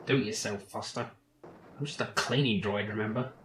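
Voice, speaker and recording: A man's voice: on the low side, brisk, background noise, close to an everyday voice. A man voicing a snarky, sulking male robot sidekick in a game, with a dry, sarcastic, grumbling delivery. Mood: sulky. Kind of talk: reading game lines aloud in character.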